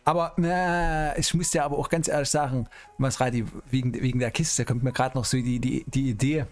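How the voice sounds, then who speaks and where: A man's voice: medium pitch, steady tone, brisk. A middle-aged man streaming an online roleplay game, chatting casually in character. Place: indoor streaming room, music behind.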